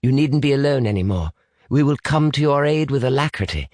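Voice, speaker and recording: A man's voice: mid-range voice, animated, brisk, darkish tone, no room sound, studio quality, pitched above the performer's own voice, close to an everyday voice. A man voicing a stiff, formal young British man with precise wording, anxious beneath it, in an audiobook story. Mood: soothing.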